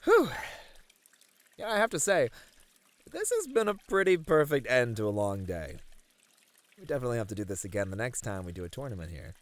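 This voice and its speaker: A man's voice: medium pitch, sing-song, fairly quick, long pauses, natural voice. A man acting an easygoing, friendly manager unwinding after work in an ASMR roleplay, casual and lightly flirty. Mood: relieved, relaxed. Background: pouring, trickling water.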